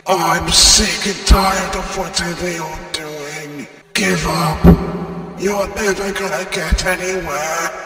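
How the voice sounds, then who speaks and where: Guy doing a creepy bitter robot monster for a horror game, really slow and menacing, big long reverb, music behind. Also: high-pitched, steady tone, bright, forceful tone, far from the mic, full character voice. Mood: taunting. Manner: slow, menacing, drawn-out delivery. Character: vengeful haunted animatronic creature, menacing and bitter. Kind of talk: horror game character voice lines.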